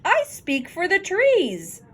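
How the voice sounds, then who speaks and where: A woman reading a storybook aloud, voicing a bossy, indignant little old male forest creature with a sharp, emphatic delivery. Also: very high voice, wildly swooping pitch, slow, voice pushed up, heavily acted. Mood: indignant, pleading. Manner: bossy, emphatic, pleading outbursts.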